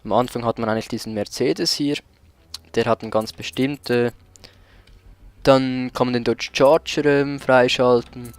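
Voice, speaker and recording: A man doing casual let's play commentary as host, with a somewhat hesitant delivery, searching for his words. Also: mid-range voice.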